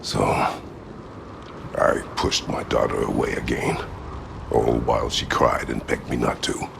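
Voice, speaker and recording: A man's voice: flat delivery, measured pace, noisy background, heavily acted. An actor playing a grim, battle-weary warrior father haunted by regret in a video game, speaking in a stoic, solemn, terse way with formal wording. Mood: sorrowful. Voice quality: very deep gravelly growl. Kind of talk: video game dramatic acting.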